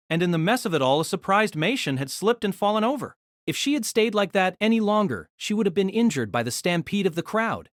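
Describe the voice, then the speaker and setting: A narrator telling a fan audio drama story in an expressive storytelling manner. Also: mid-range voice, dry recording, clean studio sound.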